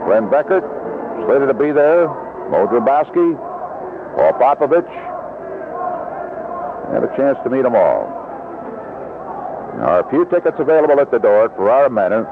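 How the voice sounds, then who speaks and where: A man calling a baseball game live as a play-by-play announcer, in 1970s radio commentary style from a ballpark. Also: medium pitch. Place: outdoor ballpark broadcast booth. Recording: lo-fi vintage AM radio broadcast, heavy noise. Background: loud stadium noise.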